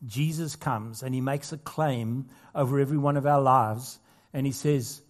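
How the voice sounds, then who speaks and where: A man's voice: medium pitch, fairly even intonation, moderate pace. A man preaching a sermon as a pastor, earnest, building to emphatic points with casual asides in between. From not Australia.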